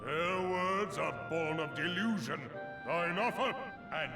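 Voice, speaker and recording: An actor playing an ancient, stern guardian god of the forest, speaking in solemn, grandiose, archaic lines for a game cutscene. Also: male voice, fairly high pitch, slow. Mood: stern, condemning. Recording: echoing, distant voice over clear music.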